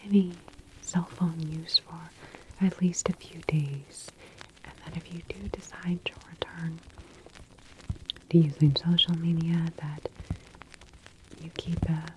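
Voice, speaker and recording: A woman whispering softly and breathily in a gentle, reassuring ASMR clinic roleplay. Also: deep voice, background noise. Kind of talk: ASMR roleplay, soothing patient-facing talk.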